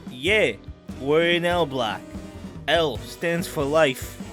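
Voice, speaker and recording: A man's voice: a little high, extremely slow, a bit bright, soft background music. A man playing a friendly, teasing crook in prison in an audio drama, talking in a playful, colloquial way. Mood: casual, amused. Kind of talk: audio drama character acting.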